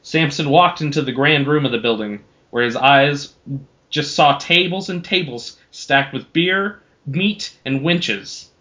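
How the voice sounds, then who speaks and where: A man's voice: a little high, unhurried, pushed and bright. A man hosting a podcast, narrating a homemade adventure story in an informal style. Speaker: podcast host. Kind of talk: animated amateur story narration.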